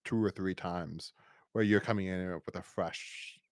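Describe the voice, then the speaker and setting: A man's voice: low voice, steady tone, a little slow, smooth, no echo, clean studio sound. A man co-hosting a podcast, chatting casually and enthusiastically through a review in a rambling way. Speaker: podcast co-host.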